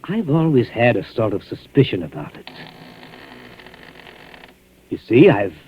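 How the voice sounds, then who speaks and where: An actor playing a quietly menacing, falsely solicitous captor with a rough voice, in 1940s radio drama style. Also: male voice, mid-range voice, big pitch swings, measured pace, slight background noise. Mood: insinuating menace. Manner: insinuating, mock-concerned. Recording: dull, lo-fi old radio recording.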